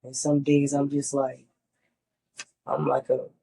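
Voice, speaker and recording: A woman's voice: a bass voice, monotone, takes it slowly, vocal fry, warm tone, slightly rough. A middle-aged woman, congested, talking candidly and emphatically in a casual sit-down interview. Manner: candid, emphatic, repeats words for stress. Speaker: interviewee sharing her chemo experience.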